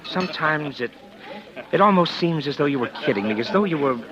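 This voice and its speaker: A man's voice: on the high side, lively intonation, brisk, crowd noise, close to an everyday voice. An actor playing a guarded, well-off bachelor wooing a woman in a 1940s radio drama, casual and evasive. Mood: hurt, suspicious. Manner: casual, evasive, courting endearments. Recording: dull vintage 1940s radio recording.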